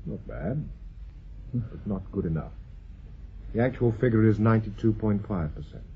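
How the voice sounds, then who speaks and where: A low, very husky British professor, speaks with donnish, measured assurance. It is radio drama acting, exacting and unflappable, on a dull recording. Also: male voice, lively intonation, full character voice. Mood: calm authority.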